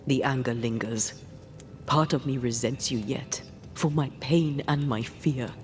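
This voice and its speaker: An actress playing the resentful ghost of a woman ghost hunter in a video game, speaking in a measured, grave, direct way. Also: very deep voice, darkish tone, soft background music. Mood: bitter, sorrowful. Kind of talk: dramatic video game acting.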